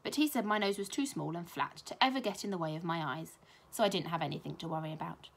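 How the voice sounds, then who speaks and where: A British woman, a primary school teacher, reading a children's story aloud with expressive narration. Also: lowish voice, fast, creaky, slightly rough.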